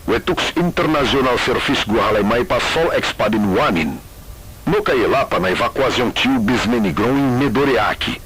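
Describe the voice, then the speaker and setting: A man's voice: medium pitch, lively intonation, a little fast, noisy background. A man reading a formal scripted emergency announcement.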